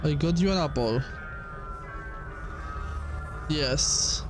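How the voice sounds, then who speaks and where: A man's voice: fairly high pitch, very slow, music in the background. A man who is a native Spanish speaker, giving casual gameplay commentary as he plays, talking to himself with some exasperation and swearing. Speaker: gaming streamer playing a horror game demo.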